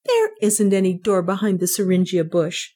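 A woman voicing a stubborn, easily frightened storybook maidservant with a sharp, snappy manner. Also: mid-range voice, huge pitch swings, normal speed. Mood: snappish.

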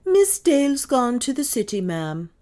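A woman's voice: fairly low pitch, big pitch swings, unhurried, a little mellow, pitched above the performer's own voice. A woman voicing a superstitious, gloomy, stubborn old Irish maidservant with a dramatic, insistent delivery in an audiobook. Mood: prim, insistent. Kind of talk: audiobook character dialogue.

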